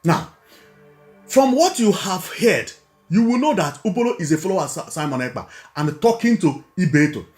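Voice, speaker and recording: A man's voice: high-pitched, sing-song, normal speed, darkish tone, soft background music. A man with a Nigerian accent giving informal political commentary, repeating points emphatically and asking rhetorical questions. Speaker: online video commentator.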